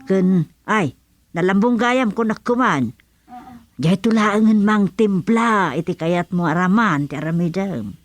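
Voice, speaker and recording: Casting: actress as older village auntie, bossy yet helpful, unhurried delivery, close dry sound, radio comedy. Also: female voice, medium pitch, lively intonation, dry recording, lightly acted. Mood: helpful, instructing. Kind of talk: Ilocano radio comedy drama acting.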